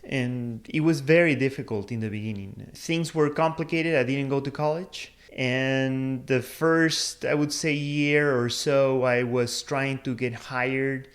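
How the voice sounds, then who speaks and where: Peru-born man, 30s, mid-pitched voice, earnest career-advice host, thinks aloud with restarts. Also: steady tone, measured pace, hardly any pauses. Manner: thoughtful, restarts and fillers, earnest storytelling. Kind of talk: casual personal talk to camera.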